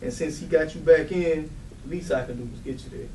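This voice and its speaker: A man's voice: on the high side, fairly quick, darkish tone, lightly acted. Man playing a weary big brother, roomy sound. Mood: subdued. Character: Tracy, weary protective older brother, quietly resolute. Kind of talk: staged play reading. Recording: roomy, distant mic, faint background noise.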